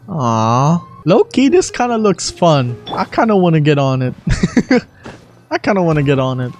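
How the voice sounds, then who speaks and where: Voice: medium pitch, a little mellow. A person chatting casually and swearing while voicing game lines, often breaking off mid-sentence. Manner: foul-mouthed, self-interrupting. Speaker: host voice acting missing game lines. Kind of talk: casual commentary between dramatic line readings.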